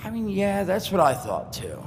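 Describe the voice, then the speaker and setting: An actor playing an eager, casual small-time instructor in a video game, chatty, a little hesitant and hoping for approval. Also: male voice, wildly swooping pitch, moderate pace, exaggerated voice. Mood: eager, friendly. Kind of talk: video game character dialogue. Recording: long reverb with rumbling noise.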